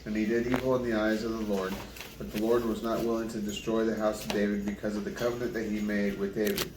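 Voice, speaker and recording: A man reading scripture aloud in a plain, unpolished way, halting and correcting himself over names. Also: lowish voice, little pitch movement. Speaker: Bible reader. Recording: roomy, distant mic, clear background noise.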